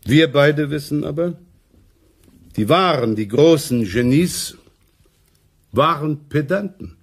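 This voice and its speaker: A man acting a pompous, self-important essayist and professor in a radio play, with deliberate, oratorical phrasing. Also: a little high, lively intonation, husky, heavily acted. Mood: grave, self-important.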